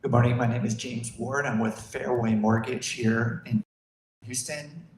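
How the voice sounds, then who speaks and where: A man's voice: mid-range voice, fairly even intonation, measured pace. A man, a mortgage lender, confidently introducing himself and asking the audience questions. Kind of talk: self-introduction at a meeting. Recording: echoey room, faint noise.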